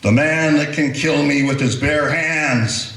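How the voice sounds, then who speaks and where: A man voicing a strange, calm prisoner who speaks in cryptic, preachy riddles in a radio play. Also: a little high, takes it slowly, echoey room, poor audio.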